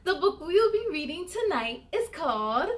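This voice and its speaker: A woman's voice: extremely high pitch, moderate pace. A woman hosting story time, reading a children's storybook expressively and talking straight to her young listeners. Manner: expressive, addresses young viewers directly. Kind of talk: children's storybook read-aloud.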